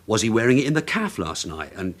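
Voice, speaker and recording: An actor playing a shrewd, needling British detective sergeant in a radio drama, sardonic and mock-courteous. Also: male voice, lowish voice, sing-song, quick pace. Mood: businesslike.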